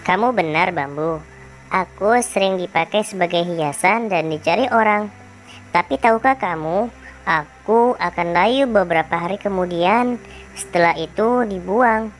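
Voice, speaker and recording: A male teacher voicing a gentle, wise female rose flower in a falsetto while reading a children's fable. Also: measured pace, soft background music. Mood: kindly explaining. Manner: falsetto, kindly and explaining.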